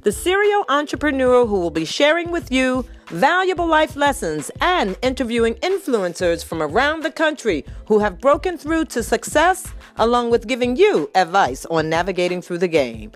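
A woman host reading a scripted introduction to her show in a measured, formal way. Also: on the high side, very animated, soft background music. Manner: measured, formal read delivery.

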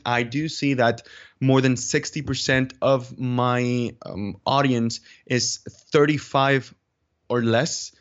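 A man with a Spanish accent talks as an interview guest. He is measured and deliberate, often searching for the next word. His mid-pitched voice comes through slightly dull, lo-fi audio. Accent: Spanish-speaking. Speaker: interview guest, video creator. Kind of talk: conversational interview answers.

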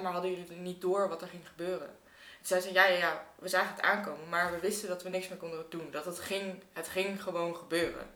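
A woman's voice: mid-range voice, sharp tone. A woman talking casually and reflectively in a group conversation, thinking aloud and repeating phrases for emphasis. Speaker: artist, podcast guest. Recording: roomy, echoing room sound.

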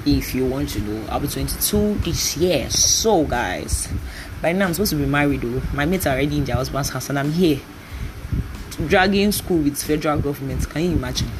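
A woman's voice: sits low, animated, brisk, background noise. A Nigerian woman in her early twenties hosting a podcast, chatting casually and rambling, often breaking into laughs. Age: 20s. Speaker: podcast host. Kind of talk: casual solo podcast chat.

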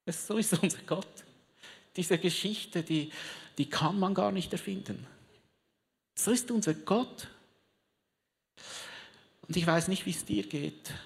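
A preacher delivers a sermon to a live crowd, telling the story theatrically and breaking off into chatty, joking asides. The delivery is unhurried and drawn out. The voice rings through a large hall with a long reverb tail. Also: medium pitch, lively intonation, creaky. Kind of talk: lively sermon with audience participation.

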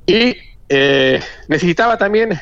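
Man, 40s, Castilian Spanish accent, higher voice, halting, repeats himself, muffled radio audio. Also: lively intonation, fairly bright tone, clear, resonant tone, no echo. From Madrid, Spain. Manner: halting, repeats words often, talkative. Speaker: hotel owner, radio guest. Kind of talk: casual radio interview.

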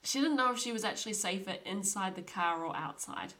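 A woman's voice: mid-range voice, animated, a little fast, a bit bright. A young woman hosting a podcast, telling a true crime story in a chatty, casual way with frequent laughs. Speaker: podcast host.

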